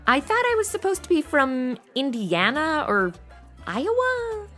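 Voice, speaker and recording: Gal doing sarcastic young temp faking it as a showgirl, highish bouncy voice, sassy slang, noir comedy. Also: very animated, measured pace, a bit bright, faint music behind, heavily acted. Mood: cheeky. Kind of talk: comedy noir audio drama acting.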